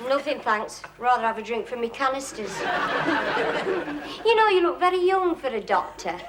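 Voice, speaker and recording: A blunt young lass. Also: female voice, sits high, lively intonation, moderate pace, a loud crowd. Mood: dry. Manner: blunt, dry, wisecracking. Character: blunt, sharp-tongued young working-class woman. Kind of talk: 1970s studio sitcom acting. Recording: noisy 1970s TV sitcom recording.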